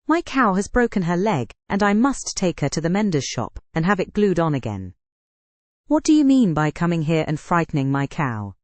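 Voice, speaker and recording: A woman's voice: lowish voice, sing-song, moderate pace, darkish tone, no room sound. A woman voicing a vexed, indignant little china milkmaid in a storybook. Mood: angry. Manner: indignant, scolding.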